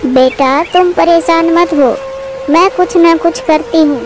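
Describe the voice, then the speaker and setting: A woman voicing a gentle, helpless young mother bird with a plaintive manner in a moral-story cartoon. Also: extremely high pitch, slow, music in the background, voice pushed up. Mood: distressed, pleading. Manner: pleading, plaintive.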